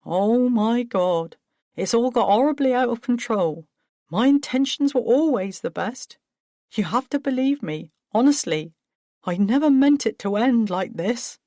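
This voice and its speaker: A woman's voice: lowish voice, expressive, moderate pace, a little mellow, no echo, studio quality, voice pushed up. A woman voicing a flustered, self-pitying middle-aged businessman who turns defensive and agitated. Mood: anguished. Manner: agitated, defensive, rising to outbursts.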